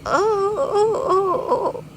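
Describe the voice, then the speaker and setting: A woman voicing a greedy male bee in a children's story, childish and excitable, exclaiming and wailing. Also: very high-pitched, very slow, a little hiss or hum, pitched above the performer's own voice, heavily acted. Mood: frightened, wailing.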